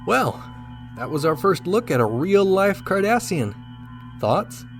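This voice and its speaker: A man's voice: high voice, very animated, slow pace, over loud music, exaggerated voice. A man playing a confident, dashing starship captain, commanding and wry, in a sci-fi radio drama. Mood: curious, casual.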